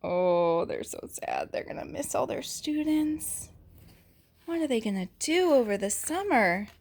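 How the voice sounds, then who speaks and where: A woman reading a picture book aloud to young children in an animated teacherly way, pausing to ask questions and chat about the story. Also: high-pitched, gravelly. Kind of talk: expressive read-aloud storytelling with asides and questions.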